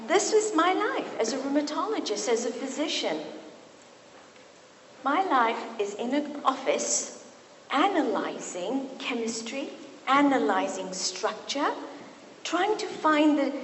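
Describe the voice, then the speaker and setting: A middle-aged woman from Kenya, a physician giving a lecture to an audience in a university hall, telling stories with lively asides. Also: high voice, slow pace. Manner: warm and storytelling, with lively asides. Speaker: physician and speaker. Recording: echoey hall, faint noise.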